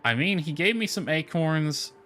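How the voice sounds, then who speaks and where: A man's voice: fairly high pitch, lively intonation, moderate pace, sharp tone. A man giving casual game commentary, reading dialogue aloud with offhand asides. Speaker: gamer commenting on own playthrough. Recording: lo-fi music playing behind. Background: background music.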